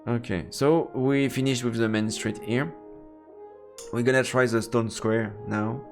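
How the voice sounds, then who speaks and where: A man's voice: medium pitch, fairly even intonation, unhurried, creaky. A man streaming a let's play, playfully commenting and reading game text aloud. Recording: roomy echo with long reverb, game music. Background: game music.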